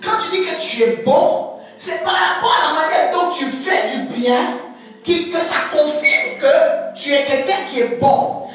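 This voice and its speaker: A woman's voice: high-pitched, measured pace, a bit bright. A woman prophetess preaching a sermon, emphatic, repeating words and stretching key phrases. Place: church hall. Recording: echoey church hall, distant mic, faint music.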